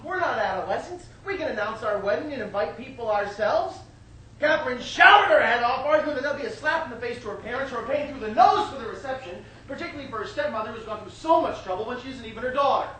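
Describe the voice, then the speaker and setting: A woman's voice: on the high side, lively intonation, fast talker, fairly bright tone. A woman playing a put-upon, exasperated bridegroom telling his troubles in a theatrical stage monologue. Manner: exasperated, pleading storytelling. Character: Ivan, put-upon, weary adult bridegroom. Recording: echoey stage recording, distant mic, noisy.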